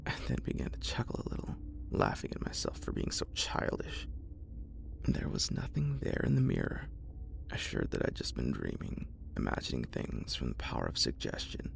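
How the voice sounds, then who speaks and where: A man reading a horror story in a breathy ASMR whisper, in a deliberate, literary storytelling manner. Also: a bass voice, wildly swooping pitch.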